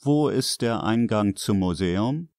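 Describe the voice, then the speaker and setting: A man's voice: lowish voice, lively intonation, measured pace, a little mellow, dry recording, studio quality. A man reading short scripted sentences as the narrator of a language lesson. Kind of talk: scripted sentence translations read out.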